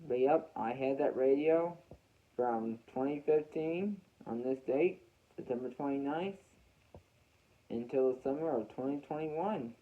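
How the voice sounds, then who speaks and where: A young American man telling a story casually to his viewers, unscripted, often repeating and rephrasing his words as he goes. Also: medium pitch. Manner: halting, repeats and rephrases phrases. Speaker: weather radio hobbyist vlogger. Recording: dull, muffled lo-fi home recording.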